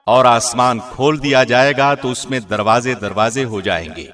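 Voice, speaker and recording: Male narrator for scripture translation: middle register, formal and measured, trained radio-presenter delivery. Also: mid-range voice, a bit bright. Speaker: translation reader, radio host.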